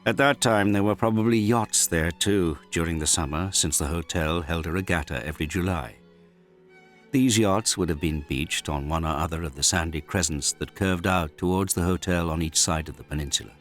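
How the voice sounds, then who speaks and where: Very deep-voiced man reading a novel. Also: moderate pace, faint music behind. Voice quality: finely modulated. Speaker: audiobook reader. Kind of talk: scripted literary narration of a novel.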